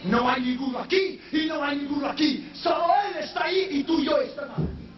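High-voiced preacher, echoey and distant. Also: male voice, brisk. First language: not Spanish. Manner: preaching that swells into shouted, sung-out peaks; slips English phrases into his Spanish. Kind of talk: sermon. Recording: echoey, distant, dull sound with faint noise.

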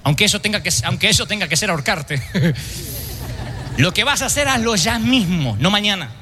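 A man delivers a sermon to a church congregation, pressing his points and throwing questions at the listeners. His voice sits high for a man and rings very bright. Faint noise runs under the recording. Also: expressive, brisk. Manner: emphatic preaching with rhetorical questions to the congregation. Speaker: preacher. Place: church service.

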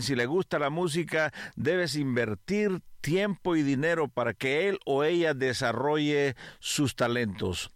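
A middle-aged man speaking as a pastor hosting a radio program, measured and instructive, like he is gently giving advice. His voice sits at an ordinary pitch and sounds close and dry, with no room around it. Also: dry recording. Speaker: radio host, pastor and family counselor. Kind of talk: radio interview and family advice talk.

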